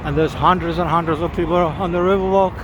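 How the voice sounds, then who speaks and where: A man's voice: fairly high pitch, fairly even intonation, fast. A man filming himself walking outdoors along a riverside, pointing things out with eager, repetitive commentary. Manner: enthusiastic, repeats words, restarts phrases. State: slightly hoarse, coughing. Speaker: vlogger filming a city walk. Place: outdoors on a city riverside walkway. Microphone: handheld camera or phone while walking. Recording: outdoor city noise behind voice. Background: street noise, sirens, boats.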